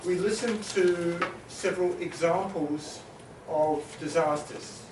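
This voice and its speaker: A man from New Zealand moderating a conference panel discussion, summarizing points with many pauses and filler sounds. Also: fairly high pitch, noisy background. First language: Maori. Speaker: panel facilitator. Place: conference hall. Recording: echoey hall, mic at a distance.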